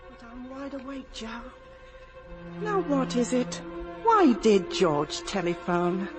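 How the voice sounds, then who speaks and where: An actress playing an insistent, anxious middle-aged wife, deliberate and urgent, in a 1950s radio drama. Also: female voice, on the high side, very animated. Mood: anxious, suspicious. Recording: vintage radio broadcast over music.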